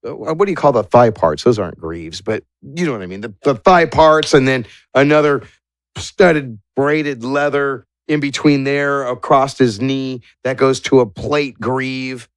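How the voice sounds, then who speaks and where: A man narrating a tabletop game as game master, searching for words in drawn-out descriptive phrases. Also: mid-range voice, dry recording.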